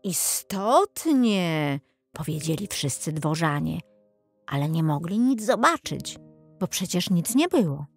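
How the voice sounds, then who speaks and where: A woman narrating a children's fairy tale as an audiobook, reading from a script in an expressive storytelling manner. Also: sits low, normal speed, faint music behind.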